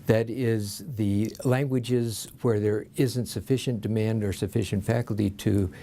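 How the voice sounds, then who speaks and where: A man with a mellow, dark-toned voice explains what a university language center does. He speaks at a measured, explanatory pace in an interview. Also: lowish voice, steady tone. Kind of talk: interview answers.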